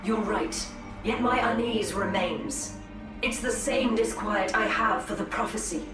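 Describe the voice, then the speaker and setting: An actress playing a dutiful, uneasy alien seer in a video game, speaking in a formal, measured way. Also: female voice, mid-range voice, far from the mic, close to an everyday voice. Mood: grave, uneasy. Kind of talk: scripted video game voice acting. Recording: echoey, set back from mic, music behind.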